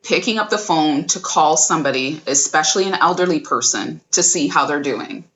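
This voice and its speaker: Woman guest, low-pitched, slow measured delivery, encouraging, video call. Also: animated, a bit bright, poor audio. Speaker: guest, community advocate. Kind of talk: guest talk.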